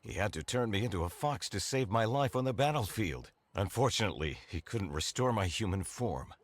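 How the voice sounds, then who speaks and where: An actor playing an earnest, grateful uncle in scripted fantasy game dialogue. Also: male voice, lowish voice, lively intonation, fairly quick. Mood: earnest explaining. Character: grateful, earnest uncle freed from a fox curse.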